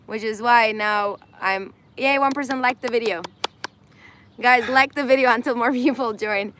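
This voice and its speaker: Chatty woman host, voice going hoarse. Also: on the high side, normal speed, fairly bright tone, slight background noise. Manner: chatty, laughs often. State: losing her voice. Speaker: host of an Instagram live. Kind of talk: casual live-stream chat. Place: indoors in Armenia.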